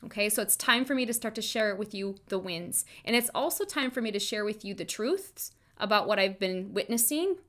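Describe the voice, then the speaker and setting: A woman's voice: mid-range voice, animated, a little fast, hardly any pauses, fairly bright tone. A woman hosting a motivational solo podcast, speaking emphatically and informally straight to her listeners, repeating phrases for emphasis. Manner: informal direct address to listeners. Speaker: podcast host, chiropractor and wellness coach.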